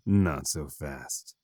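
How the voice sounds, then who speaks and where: A man's voice: deep voice, darkish tone, slightly rough, no echo, studio quality, full character voice. A man playing a cold, taunting bandit villain in a fantasy audio drama.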